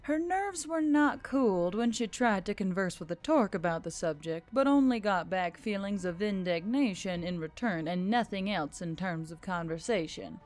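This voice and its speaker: A woman's voice: medium pitch, animated, moderate pace, few pauses, a little mellow. A woman reading a scripted story as a narrator. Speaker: audiobook-style narrator.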